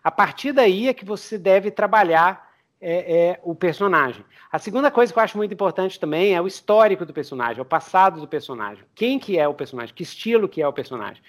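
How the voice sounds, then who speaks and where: A Brazilian man with a high-set voice hosts a casual podcast conversation. He feels his way through explanations, restarting and doubling words as he talks. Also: animated. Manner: halting, repeats words while explaining. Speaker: podcast host. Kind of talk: casual interview chat.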